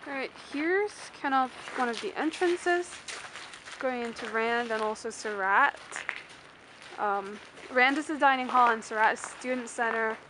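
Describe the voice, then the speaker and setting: High-voiced young woman rambling over background noise. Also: measured pace. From Malaysia. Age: young adult. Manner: rambling, filler-heavy, informal. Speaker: student vlogger. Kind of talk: casual, unscripted walking-tour vlog. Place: mostly outdoors on a university campus. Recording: noisy handheld outdoor camera audio.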